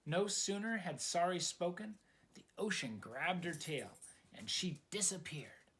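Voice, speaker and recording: Slow, lively male storybook reader. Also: high-pitched. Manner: dramatic, addresses young listeners. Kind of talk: expressive children's read-aloud narration.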